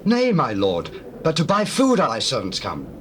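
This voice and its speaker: An actor playing a humble, earnest traveller pleading emphatically and declaiming in a scripture drama. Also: male voice, mid-range voice, wildly swooping pitch, moderate pace. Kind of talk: classical dramatized scripture reading. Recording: faintly noisy old cassette with ambience.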